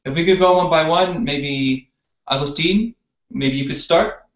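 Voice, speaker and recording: Halting man on a roomy call. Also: on the high side. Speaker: team lead and sales representative hosting a meeting. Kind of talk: informal work meeting introduction. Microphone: video call. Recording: roomy, dull online call audio.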